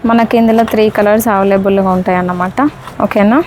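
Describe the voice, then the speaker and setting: A woman with a Telangana Telugu accent presents a product in a casual unboxing style. Her voice sits at a medium pitch and she keeps checking in with her listeners as friends. Faint ambient noise runs behind her. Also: steady tone, fast. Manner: repeatedly checks in with listeners, addressing them as friends.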